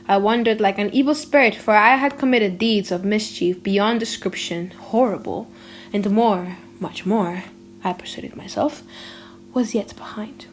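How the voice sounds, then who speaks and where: A woman narrating an audiobook in a theatrical, emotive style, with drawn-out pauses and hushed drops. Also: medium pitch, a little hiss or hum. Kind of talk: dramatic audiobook reading.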